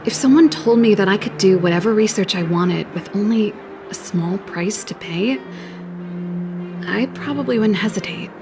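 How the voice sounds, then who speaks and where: Medium pitch, candid young woman scientist, voiced by an actress, playfully confident, laid-back, game dialogue, music behind. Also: normal speed, lightly acted. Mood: reflective. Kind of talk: game cutscene voice acting.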